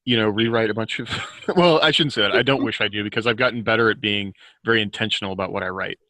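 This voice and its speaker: A man with a fairly low but bright, crisp-edged voice chats as a podcast panelist. He is talkative and pokes fun at himself. The sound is dry, with no room around it. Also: fast, no room sound, rough recording. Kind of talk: casual panel chat.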